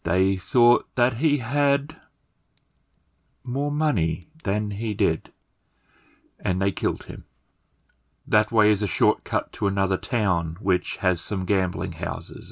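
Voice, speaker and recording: A man's voice: deep voice, fairly even intonation, unhurried, gravelly, dry recording. A man voicing a pragmatic, money-minded villager who speaks respectfully and persuasively in a tabletop game. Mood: reasonable, placating.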